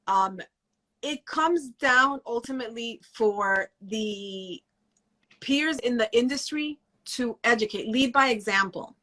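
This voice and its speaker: A woman's voice: fairly high pitch, slow pace, a bit bright, poor audio. A woman guest educator speaking earnestly in a casual podcast chat.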